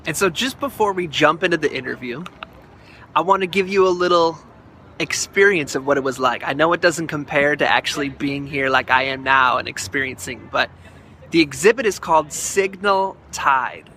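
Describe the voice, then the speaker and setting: An effusive, excited man introducing and conducting an interview as the host of a show, speaking outdoors. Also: fairly high pitch, moderate pace, a little hiss or hum. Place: outdoors at an art installation.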